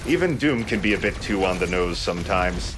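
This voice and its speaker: A man's voice: low voice, animated, a little fast, noisy background. A man giving casual, conversational video game commentary as a let's play host, explaining as he goes. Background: game music.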